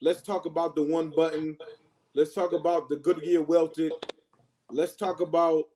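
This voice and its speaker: High-voiced man arguing firmly. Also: little pitch movement, unhurried, mellow, a little hiss or hum, low-quality recording. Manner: firm, acts out quotes. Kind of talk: casual debate.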